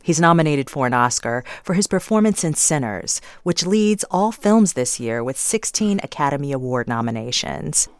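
A woman's voice: low voice, lively intonation, normal speed, hardly any pauses, no echo. A woman hosting a radio interview, asking engaged questions from a studio microphone.

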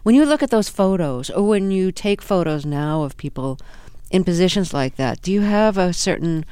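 Smooth-voiced radio host, dry studio. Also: male voice, high-pitched, expressive, warm tone, no echo. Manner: measured, clear questioning. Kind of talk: radio interview hosting.

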